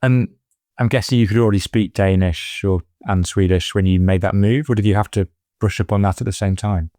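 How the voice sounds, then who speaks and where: A man hosting a medical podcast, introducing his guest and asking brisk, friendly questions. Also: fairly low pitch, steady tone, warm tone, no room sound, clean studio sound. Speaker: podcast host. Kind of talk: podcast interview.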